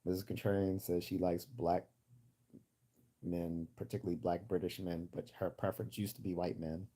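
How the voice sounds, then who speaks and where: Midwestern american guy chatting on his stream, really deep mellow voice, casual. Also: flat delivery, moderate pace. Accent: general American, Midwestern. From United States. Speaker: livestream host. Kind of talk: casual livestream chat.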